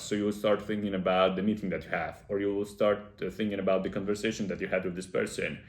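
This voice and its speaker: Earnest man with a low voice, echoey room. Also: darkish tone. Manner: earnest, explaining step by step with frequent "uh" pauses. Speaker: self-improvement video host. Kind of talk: casual advice talk to camera.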